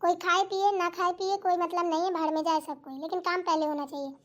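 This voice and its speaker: A woman plays a sulky young daughter-in-law with an extremely high, squeaky voice. She grumbles, argues and gets sarcastic, stubborn and put-upon, in a domestic comedy skit. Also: little pitch movement, fast talker. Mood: sulky grumbling. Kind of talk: family comedy skit acting.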